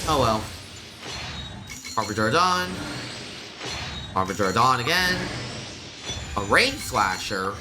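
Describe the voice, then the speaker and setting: Male streamer, mid-pitched and slow-paced, casual and low-key play-by-play with prominent game music behind him. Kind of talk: casual live gameplay commentary.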